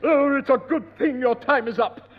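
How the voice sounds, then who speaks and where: An actor playing a prim, pompous piano teacher in a 1960s radio comedy. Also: male voice, very high voice, normal speed, a crowd in the background. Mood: indignant. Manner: pompous, prim, repeats "oh yes". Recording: dull, echoey old radio broadcast.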